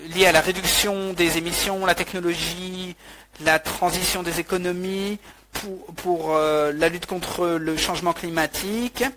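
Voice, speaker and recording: A man interpreting a webinar talk live, halting, with frequent restarts and fillers. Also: sits high, fairly even intonation, sharp tone, a little hiss or hum, low-quality recording.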